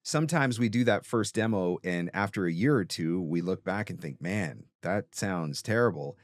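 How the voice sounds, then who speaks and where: Low-voiced man giving emphatic podcast advice. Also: expressive, moderate pace, dry recording, studio quality. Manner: emphatic, rhetorical questions and frequent check-in "right?". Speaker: podcast host and voice-over coach.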